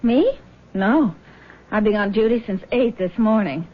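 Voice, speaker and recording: Husky-voiced concerned grown daughter. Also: female voice, medium pitch, animated, measured pace. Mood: puzzled. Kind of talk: radio drama acting. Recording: muffled band-limited old radio recording.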